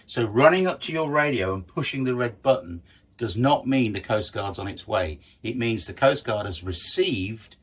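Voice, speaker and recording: A man's voice: medium pitch, lively intonation, moderate pace, breathy edge. A man teaching a practical lesson, explaining step by step and stressing key points in a didactic, emphatic way. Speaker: sailing instructor. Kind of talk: explanatory lesson with worked examples.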